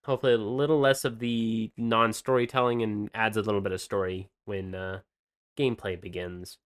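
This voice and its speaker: A man's voice: on the low side, flat delivery, brisk. A man co-hosting a podcast, chatting casually about games and rambling with lots of fillers and restarts. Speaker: podcast co-host presenting game news.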